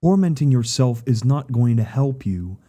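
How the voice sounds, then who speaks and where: A man playing a stern, cautious pony therapist in an audio drama, speaking in a formal, measured, admonishing way. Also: mid-range voice, animated, mellow, lightly acted. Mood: stern concern.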